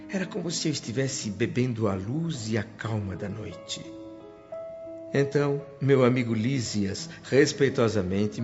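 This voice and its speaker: A man's voice: on the low side, close to an everyday voice. A male actor as an awestruck, curious newcomer to the spirit world, telling his tale in a radio drama. His Brazilian speech is earnest and reverent, with literary phrasing. The audio is dull, with music playing underneath. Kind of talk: dramatised first-person radio narration.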